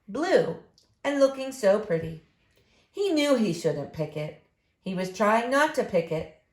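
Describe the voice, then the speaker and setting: A woman's voice: mid-range voice, darkish tone. A woman reading a picture book aloud expressively to young listeners. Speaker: story reader for children. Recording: echoey room.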